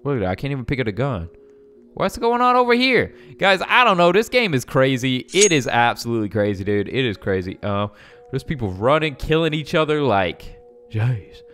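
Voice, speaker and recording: Young man, very animated gaming commentary. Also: medium pitch, normal speed, faint music behind. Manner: slangy, jokey, rambling. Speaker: gaming YouTuber. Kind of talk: casual gameplay commentary.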